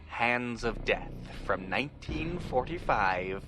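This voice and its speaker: A theatrical man plays horror host over music. His delivery is slow and swings widely in pitch, pausing before dry punchlines. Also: animated. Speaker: host of an old time radio show podcast. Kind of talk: spooky horror-host introduction with deadpan jokes.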